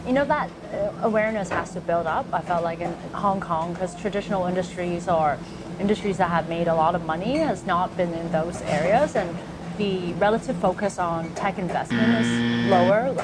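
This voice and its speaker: Lively woman chatting over loud noise. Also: on the low side, normal speed. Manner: animated, frequent fillers like "like" and "you know". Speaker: startup co-founder and COO. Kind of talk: conversational interview chat.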